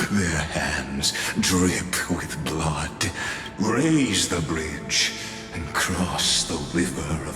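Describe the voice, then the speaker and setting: An actor playing a sinister, cruelly mocking figure in a video game, with a theatrical, ominous delivery. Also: male voice, sits low. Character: sinister male observer, slow, cruel, darkly mocking. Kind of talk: scripted video game voice acting. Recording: echoey hall reverb under music.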